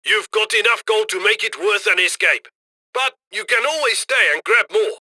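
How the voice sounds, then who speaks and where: Bright, forceful, cocky heist handler. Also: male voice, high voice, dry recording, clean studio sound, full character voice. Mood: urgent, businesslike. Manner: cocky, brisk, commanding. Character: brash, upbeat male heist handler guiding a criminal crew. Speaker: mission handler giving instructions over comms. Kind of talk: video game voice lines, punchy commands.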